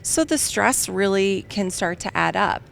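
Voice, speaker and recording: A woman's voice: mid-range voice, lively intonation, a little slow, creaky, a bit bright, a little hiss or hum. A woman guest in an interview, talking in a conversational way with many you-knows and ums. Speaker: guest, field marketing manager. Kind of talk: interview answers. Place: trade show venue.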